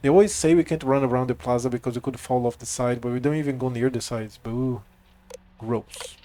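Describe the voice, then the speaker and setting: A game streamer with a medium-pitched voice talks casually through a playthrough. The delivery moves between offhand commentary and reading game text aloud. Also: steady tone, fairly quick, dark tone, a little hiss or hum.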